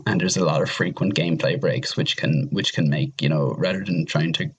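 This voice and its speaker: A man's voice: rumbling low, moderate pace, breathy edge, lo-fi sound. A man speaking as a podcast guest in a casual discussion of games, rambling and often restarting his phrases. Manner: hesitant, rambling, repeats phrase starts.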